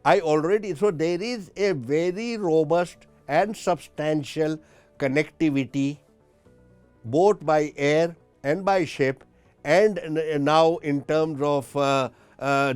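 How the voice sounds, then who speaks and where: A man speaking as a diplomat guest, giving formal, emphatic and deliberate analysis in an interview. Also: on the high side, a little mellow, clean tone, no room sound.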